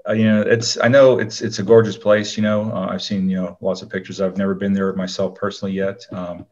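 A man with a low-key, laid-back manner, chatting casually as an interview guest. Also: flat delivery, fairly quick, darkish tone, dull audio. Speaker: guest, actor.

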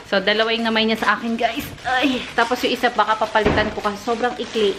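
A woman's voice: fairly high pitch, little pitch movement, rapid-fire, reverberant. A woman from the Philippines in her thirties, chatting casually to viewers in a home vlog. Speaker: vlogger. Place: apartment home indoors. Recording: noisy home recording.

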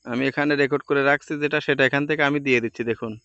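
A man walks listeners through an on-screen task in an informal way. He talks quickly and repeats his pointers as he goes. The recording is close and dry. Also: medium pitch, fairly even intonation, pushed and bright, dry recording, dull audio. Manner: repetitive, instructional phrasing. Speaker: video editing tutorial presenter. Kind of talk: informal step-by-step screen tutorial.

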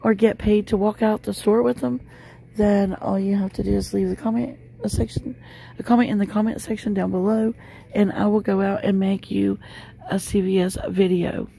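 A woman's voice: mid-range voice, flat delivery, moderate pace, vocal fry, dark tone, low-quality recording. A middle-aged woman chatting casually as she films a walk through a large store, talking loosely with many fillers. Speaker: shopping vlogger. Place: inside a large discount store. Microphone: handheld phone camera.

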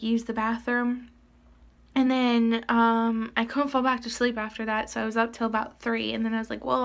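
Young female vlogger, chatty and rambling, slightly high voice, phone selfie audio. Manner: rambling, filler-heavy, drops to a whisper. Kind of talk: casual vlog chat. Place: small home bathroom and living room. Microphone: phone held at arm's length.

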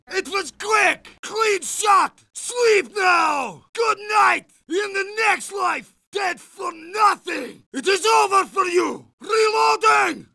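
A man's voice: very high-pitched, vocal fry, pushed and bright, no echo, clean studio sound, heavily acted. A man with a Russian accent voicing an idealistic young Soviet soldier troubled by brutality in a video game. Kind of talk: video game character voice lines.

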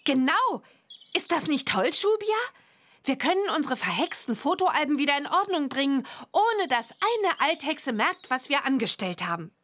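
A woman playing an eager, perky young witch girl, the heroine of an animated children's game. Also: high-pitched, moderate pace. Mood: eager, excited.

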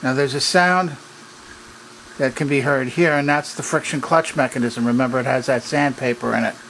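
A man presenting an unscripted hands-on technical demonstration in a halting, methodical, explanatory way. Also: mid-range voice, fairly even intonation, vocal fry, fairly bright tone, poor audio. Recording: noticeable background noise.

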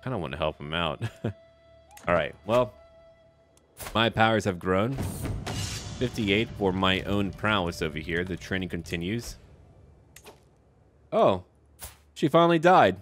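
A man's voice: low voice, expressive, a little slow, pauses often, fairly bright tone. A man giving casual video game commentary, musing aloud and addressing the characters as he plays. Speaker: gaming video narrator. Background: background music.

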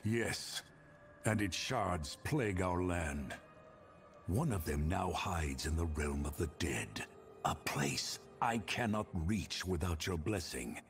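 Low, gravelly grim warrior hero. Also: male voice, expressive, soft background music, exaggerated voice. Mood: grave, urgent. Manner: grave, measured delivery.

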